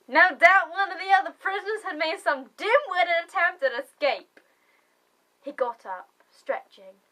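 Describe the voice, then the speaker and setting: Female narrator for dramatic fiction, unhurried and theatrical, with a bright, intense tone. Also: on the high side, poor audio. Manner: theatrical, deliberate, with swelling emphasis. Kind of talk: dramatic story reading.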